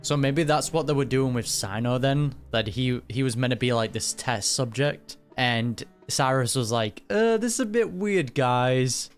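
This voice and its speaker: A man's voice: medium pitch, unhurried, few pauses. A man giving casual reaction commentary on a game, informal and speculating aloud. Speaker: gaming reaction streamer. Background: game music.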